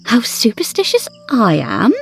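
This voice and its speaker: An actress playing a composed, wry and fearless wife in a period ghost story, measured, theatrical and dryly pointed. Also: female voice, fairly high pitch, huge pitch swings, vocal fry, faint music behind, full character voice. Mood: cool, pointed. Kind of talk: period ghost story audio drama acting.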